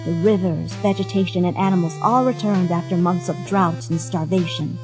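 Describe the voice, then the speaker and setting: A woman's voice: fairly low pitch, steady tone, normal speed, natural voice. A woman voicing a caring, motherly female monster in a comic dub, speaking politely and formally like a soothing storyteller. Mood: solemn storytelling. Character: gentle, caring, courteous motherly female monster behind a door. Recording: music behind the voice.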